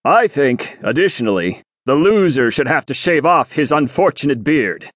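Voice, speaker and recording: A man's voice: medium pitch, sing-song, normal speed, a bit bright, dry recording, studio quality. A man voicing a jovial, cheeky male sailor adventurer who teases his rival breezily. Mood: teasing. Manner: teasing, breezy.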